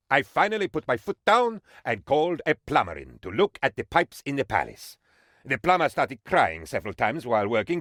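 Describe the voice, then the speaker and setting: A man voicing an excitable, pompous and dim-witted sycophantic aide to a dictator in a video game, with theatrical, naively enthusiastic delivery. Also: mid-range voice, no room sound, clean studio sound. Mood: earnest, naive. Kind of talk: video game character voice lines.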